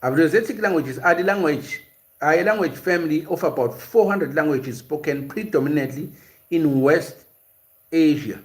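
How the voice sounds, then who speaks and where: Male host, slightly high voice, unscripted live lecture, stop-start delivery with many fillers. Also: moderate pace. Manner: explanatory, hesitant, with frequent "uh" and repeated words. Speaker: host of a language and culture series. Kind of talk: live-stream lecture, reading chat and answering viewers.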